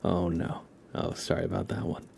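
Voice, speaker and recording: A man hosting an ASMR livestream in a soft, hushed voice that often nears a whisper, chatting and reading in a laid-back, gently joking way with halting pauses. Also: deep voice, flat delivery, gravelly, slight background noise. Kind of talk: ASMR, casual chat and tarot reading for viewers.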